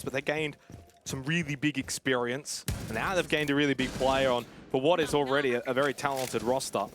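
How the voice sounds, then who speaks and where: A man calling a competitive shooter match play-by-play, energetic and rising to excited shouts on big plays. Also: a little high, background noise. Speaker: esports caster. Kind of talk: play-by-play esports commentary.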